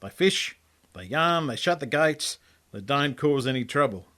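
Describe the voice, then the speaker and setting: A man's voice: medium pitch, expressive, measured pace, bright, forceful tone. An Australian man reading his own story as a colloquial, laconic first-person yarn. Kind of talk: storytelling monologue, first-person character narration.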